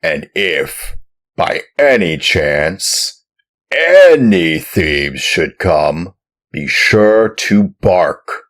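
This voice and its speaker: A man voicing a rough, angry farmer in a storybook reading, speaking loudly, gruffly and threateningly. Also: low voice, big pitch swings, extremely slow, voice pushed up, heavily acted.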